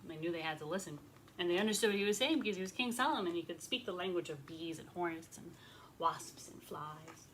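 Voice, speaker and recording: A woman's voice: fairly low pitch, a little fast, a bit bright, a little hiss or hum, low-quality recording. A woman leading a children's story time, narrating expressively and chatting with young listeners in simple words. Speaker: rabbi telling stories to children.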